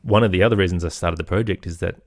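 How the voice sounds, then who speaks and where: A man's voice: deep voice, racing, no room sound, clean studio sound. A middle-aged man giving thoughtful, earnest answers as an interview guest in a conversational way. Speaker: interview guest, podcaster and musician.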